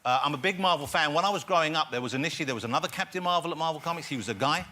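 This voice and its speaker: A man's voice: on the high side, racing, sharp tone. A man hosting a talk show, teasing his guests and asking them questions. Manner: teasing, joking. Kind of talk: casual chat.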